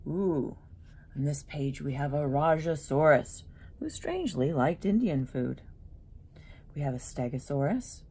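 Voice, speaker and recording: A woman children's author reading her picture book aloud and chatting in asides, with an animated storybook delivery addressed to young listeners. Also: sits low, measured pace, slightly rough.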